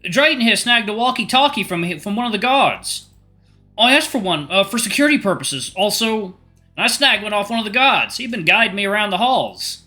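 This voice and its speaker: A man's voice: high-pitched, animated, normal speed, pushed and bright, soft background music, voice pushed up. A man voicing a nervous male doctor on a walkie-talkie, hesitant and rambling.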